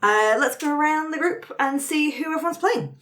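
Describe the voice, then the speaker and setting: A woman's voice: sits high, expressive, moderate pace, fairly bright tone. Woman leading a tabletop game. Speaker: game facilitator and player. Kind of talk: explaining a tabletop game to friends.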